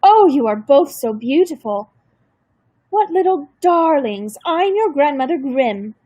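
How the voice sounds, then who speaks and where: A doting, gushing old grandmother with a faint German accent, as a woman reader acts her out. Her voice rises high and soft, slowed down with affection. Dry, close storybook reading. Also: sing-song, dry recording, dull audio. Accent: slight German.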